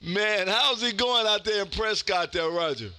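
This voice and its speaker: A man in his fifties with a loud, exuberant, folksy manner, chatting casually as he hosts amateur radio contacts. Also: high voice, normal speed, heavy background noise. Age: 50s. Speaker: amateur radio operator hosting a live stream. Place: indoor home radio room.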